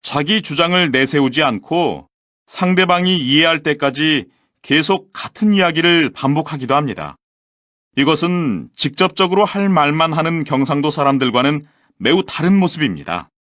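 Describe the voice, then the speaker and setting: A man with a somewhat high voice reads a scripted textbook presentation in a clear, measured and formal way. The recording is close and dry, with no room sound. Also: lively intonation, fairly bright tone, dry recording. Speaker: textbook audio voice actor. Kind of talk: scripted language-lesson reading.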